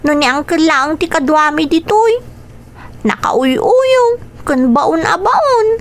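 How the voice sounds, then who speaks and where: A woman's voice: very high-pitched, expressive, slow pace, exaggerated voice. A woman playing a dutiful, weary young girl with a respectful, plaintive way of talking in a radio drama. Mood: aggrieved, weary. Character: dutiful, weary young girl living under her uncle's roof.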